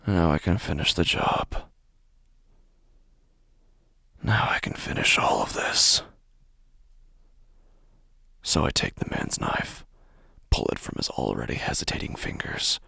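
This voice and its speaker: Man acting a very deep, gravelly, deranged killer. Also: big pitch swings, sharp tone, no room sound, clean studio sound, heavily acted. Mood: grim, hushed. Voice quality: very deep, gravelly, breathy half-whisper. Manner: slow, menacing, deliberate confessional delivery. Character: deranged murderous male narrator, paranoid and obsessive. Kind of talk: horror audio drama monologue.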